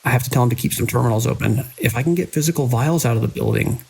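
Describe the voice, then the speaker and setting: Man acting a weary hospital director, husky gravelly voice, grave deliberate delivery, horror audio drama log. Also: mid-range voice, darkish tone, low-quality recording. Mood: grave, resolute. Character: weary, alarmed hospital director recording logs during an outbreak. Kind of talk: audio drama monologue, spoken log entries.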